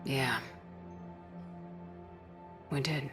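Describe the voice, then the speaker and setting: An actress playing a steady, supportive military commander in a video game, speaking in a direct, plain-spoken way. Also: female voice, very deep voice, drawn-out words, over music, full character voice. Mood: sincere. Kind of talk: video game cutscene dialogue.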